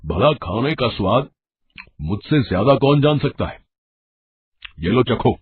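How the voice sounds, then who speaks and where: A man playing a husky, blustering old father-in-law. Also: medium pitch, very animated, unhurried, heavily acted. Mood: insistent. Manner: blustering, emphatic. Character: blustering, stern but fair older father-in-law. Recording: dull lo-fi audio.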